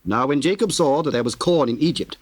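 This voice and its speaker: A man narrating scripture in a solemn, measured, formal way with theatrical diction. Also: mid-range voice, lively intonation, breathy edge, no echo.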